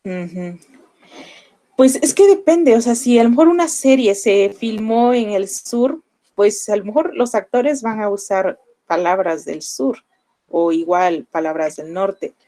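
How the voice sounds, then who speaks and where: A young Mexican woman with a Mexican Spanish accent, explaining to her students in a relaxed classroom manner full of fillers. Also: mid-range voice, measured pace. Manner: conversational, explanatory, with frequent fillers. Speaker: language teacher. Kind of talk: online class lecture.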